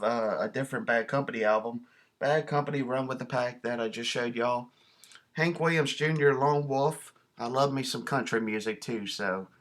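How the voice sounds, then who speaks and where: Casual male vlog host, bright sharp tone, folksy and enthusiastic. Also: medium pitch. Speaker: video host showing a cassette collection. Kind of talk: casual show-and-tell vlog.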